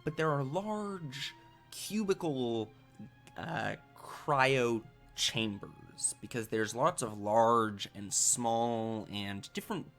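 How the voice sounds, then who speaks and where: A man running a tabletop game, improvising narration in a halting, conversational way. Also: medium pitch, fairly bright tone, soft background music. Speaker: game master.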